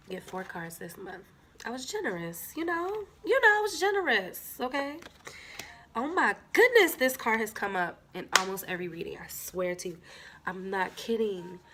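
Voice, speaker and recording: Stuffy-nosed woman, gravelly and bright. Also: lowish voice. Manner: chatty, filler-heavy, talks straight to viewers. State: stuffy nose from a cold. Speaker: tarot reader. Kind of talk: casual tarot reading to camera.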